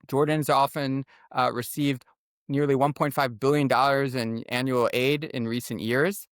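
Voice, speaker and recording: A man's voice: medium pitch, fairly even intonation, measured pace, smooth, no echo, studio quality. A man with an American accent, an author being interviewed, giving articulate and orderly explanatory answers. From United States. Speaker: guest author and former journalist.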